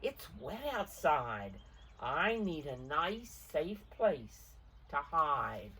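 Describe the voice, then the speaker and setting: A woman's voice: sits low, very animated, very slow, bright, forceful tone, breathy edge, distant mic, heavily acted. A woman voicing a fussy, plaintive hen from a children's storybook. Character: fussy clucking hen wanting a safe hiding place.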